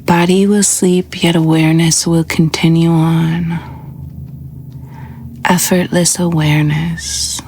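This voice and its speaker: A woman with a soft, breathy, husky voice guiding a scripted sleep meditation in a slow, soothing, hushed manner. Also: low voice, flat delivery, slight background noise. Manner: slow, soothing, hushed delivery with long pauses. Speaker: yoga nidra meditation guide.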